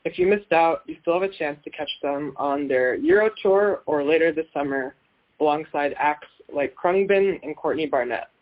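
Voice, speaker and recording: A man's voice: a little high, moderate pace, phone-quality sound. A man hosting an interview, introducing his guest and asking friendly, prepared questions. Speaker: interviewer host. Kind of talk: podcast interview.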